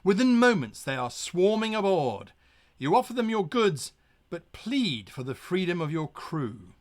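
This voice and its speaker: A man narrating a gamebook as game master on a live stream, with lively asides and pirate growls. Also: high voice, measured pace.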